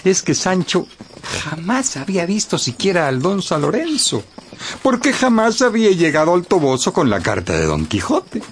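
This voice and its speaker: A man narrating a radio drama in a lively scripted storytelling style. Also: high-pitched, normal speed, slight background noise.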